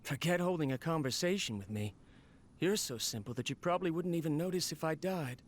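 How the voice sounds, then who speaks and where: A man's voice: fairly high pitch, animated, brisk. An actor playing a lonely, weary male researcher in a video game, speaking in a wistful, resigned monologue.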